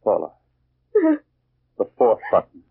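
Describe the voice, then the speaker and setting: A man's voice: slow pace, no echo, natural voice. A man playing a greedy, domineering, suspicious husband with a harsh, commanding manner in a 1940s radio mystery. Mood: harsh, impatient. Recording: scratchy, muffled 1940s radio recording.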